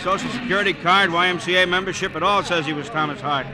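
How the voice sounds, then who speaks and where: A man's voice: sits high, fast, a crowd in the background, close to an everyday voice. An actor playing a plain-talking, matter-of-fact city policeman in an old radio drama, reporting in streetwise, colloquial phrases. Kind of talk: 1940s-50s radio drama acting.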